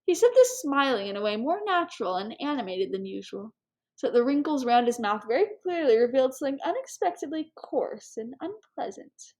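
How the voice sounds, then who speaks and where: Expressive woman narrator, fairly high voice. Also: fairly quick. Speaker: audiobook reader. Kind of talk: scripted literary narration.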